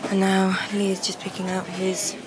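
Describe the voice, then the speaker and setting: A woman's voice: mid-range voice, completely flat delivery, moderate pace, poor audio. A young woman vlogging out and about, chatty and breezy with trailing ums, filmed on a handheld camera at arm's length. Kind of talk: casual vlog narration. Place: outdoor city streets and busy indoor markets. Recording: loud crowd and music behind.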